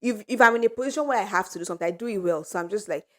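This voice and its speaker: A woman rattles along at a quick clip in a relaxed podcast conversation. She tells her stories with animation and laughs freely as she goes, her voice sitting at an ordinary middle pitch. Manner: animated storytelling, laughs often. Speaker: podcast co-host. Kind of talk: casual chat among friends.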